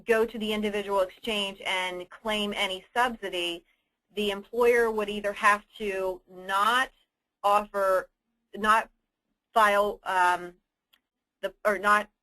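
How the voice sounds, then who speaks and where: Woman, mid-range pitch, conference call host, explanatory, businesslike, halting delivery, question-and-answer briefing. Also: sharp tone, rough recording. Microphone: telephone conference line.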